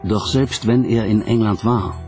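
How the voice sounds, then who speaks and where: A man narrating a documentary from a script in a measured, dramatic storytelling way. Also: on the low side, darkish tone. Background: background music.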